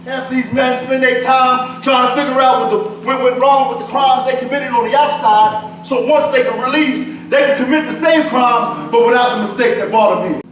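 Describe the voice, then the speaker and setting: A very echoey, distant stage recording carries a preachy prison inmate. His voice is strikingly high for a man, and his delivery is intense and declamatory, in the manner of live theatre. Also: steady tone, moderate pace, hardly any pauses, fairly bright tone. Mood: indignant. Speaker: stage actor. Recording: distant, echoing stage recording, faint noise.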